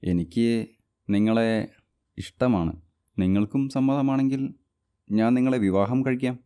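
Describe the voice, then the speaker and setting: A man's voice: medium pitch, a little slow, dark tone, clear, resonant tone, lightly acted. A man voicing an earnest, insistent office worker in a storybook tale. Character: office man, persistent suitor, later a stepfather.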